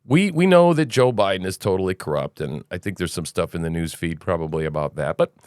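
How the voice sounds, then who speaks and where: A man's voice: low voice, animated, a little fast, darkish tone, smooth, no echo, clean studio sound. A man hosting a casual news commentary podcast, rambling and opinionated, with sarcastic asides. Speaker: podcast host.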